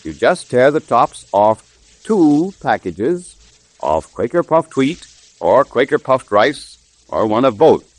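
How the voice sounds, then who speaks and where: A male announcer in the 1930s radio advertising manner. He pitches emphatically to young listeners with an animated, swooping delivery. The vintage recording is very dry. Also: slow pace, no room sound. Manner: emphatic, salesmanlike, addressing children.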